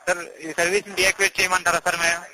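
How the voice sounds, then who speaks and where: Very fast, sharp-voiced, deferential male agent. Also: sits high, little pitch movement. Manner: polite, apologetic, deferential. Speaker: customer care agent. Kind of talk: scripted customer-service phone talk. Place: telephone call. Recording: noisy phone call recording.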